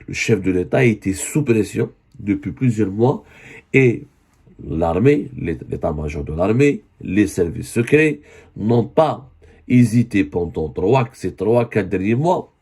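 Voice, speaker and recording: A man with a voice hoarse from a cold, giving an earnest spoken news commentary and often correcting himself. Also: low voice, warm tone. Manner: earnest, with many self-corrections.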